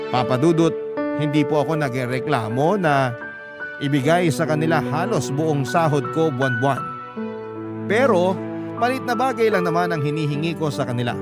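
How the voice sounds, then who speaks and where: A male radio drama narrator with a fairly high voice reads a scripted first-person story. His delivery is earnest and storytelling. Loud music plays all along behind him. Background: prominent background music.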